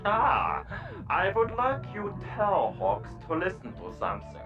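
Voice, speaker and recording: Scheming male villain, pompous and precise, lively intonation, faint music, slightly dull sound. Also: sits high, measured pace, exaggerated voice. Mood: coolly menacing. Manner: precise, haughty. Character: pompous scheming villain with a cold, logical mind.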